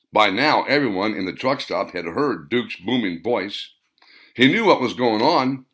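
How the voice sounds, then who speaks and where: Guy reading his own novel, lowish voice, forceful tone, measured pace. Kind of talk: audiobook narration.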